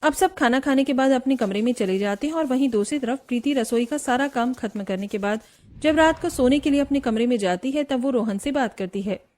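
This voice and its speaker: A woman's voice: high-pitched, fairly quick, darkish tone. A woman reading scripted narration for a cartoon family story.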